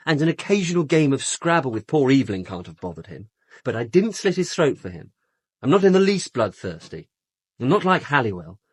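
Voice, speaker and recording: An actor playing a flippant, louche upper-class British suspect in a radio drama, speaking in a glib, theatrically casual manner. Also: male voice, mid-range voice, breathy edge, dry recording.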